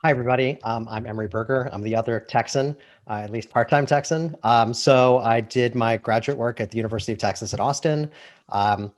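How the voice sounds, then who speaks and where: A man's voice: medium pitch, a little fast. A man from Florida, a professor, introducing himself on a video call with jokes and many fillers. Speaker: professor, panelist. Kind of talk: panel introduction.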